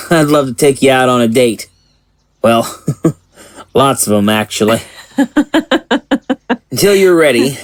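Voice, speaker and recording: Man acting earnest small-town man, gentle manner, medium pitch, audio drama, dry recording. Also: dry recording, lightly acted.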